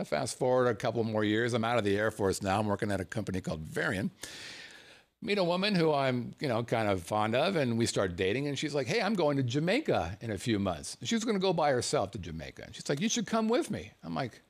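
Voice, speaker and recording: A man from the United States, a show host, telling a personal anecdote in a casual, animated way and chuckling as he goes. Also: medium pitch, brisk. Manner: animated storyteller, chuckles through his words.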